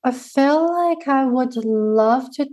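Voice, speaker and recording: A woman with a Mandarin accent, a native Mandarin speaker, talking slowly and thoughtfully as a guest in an interview. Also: high voice, mellow. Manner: slow, thoughtful, searching for words. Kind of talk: reflective interview conversation.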